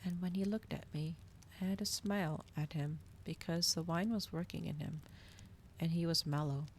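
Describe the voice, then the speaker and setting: Low, creaky-voiced woman reading softly. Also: steady tone, moderate pace, a little hiss or hum. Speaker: streamer reading a novel aloud. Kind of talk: ASMR storybook reading, soft narration.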